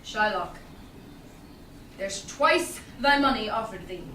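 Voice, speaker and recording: A woman playing a composed young male doctor of law in a staged Shakespeare reading, formal and measured. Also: mid-range voice, animated, pushed and bright. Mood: grave, measured. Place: small theatre stage, indoors. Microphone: far audience camera. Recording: echoey stage, distant camera, faint noise.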